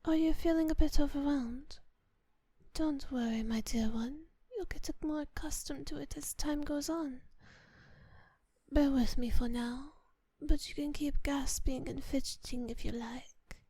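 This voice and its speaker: A kind vampire mistress, played by a woman, speaks in a high yet velvety soft voice, slipping often into whispers. She is close on the mic in a very dry space, like an ASMR roleplay. Her phrasing is refined and unhurried, tender toward the listener and quietly in command. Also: fairly even intonation, dry recording. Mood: indulgent, pleased. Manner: refined, formal diction. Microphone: close microphone in a dry space.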